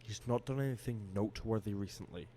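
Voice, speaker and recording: A man playing a wary, irritable vampire in an audio drama, terse and guarded, with a husky voice. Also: lowish voice, fast, mellow, slight background noise, lightly acted. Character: wary, irritable male vampire, curt and proud.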